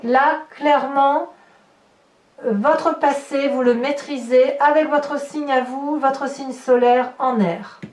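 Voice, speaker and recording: A woman's voice: high-pitched, fairly even intonation, slow pace. A woman improvising a tarot reading for her viewers, breaking into exclamatory, astonished asides as she comments on the cards. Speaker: tarot reader and channel host. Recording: roomy echo, distant mic, background noise.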